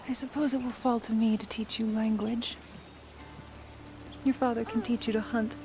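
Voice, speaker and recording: Woman playing a tender norse warrior mom in a video game, softly teasing, measured, music playing behind her. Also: fairly high pitch, flat delivery, close to an everyday voice. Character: gentle, wry mother and warrior wife in Norse myth. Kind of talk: cinematic video game acting.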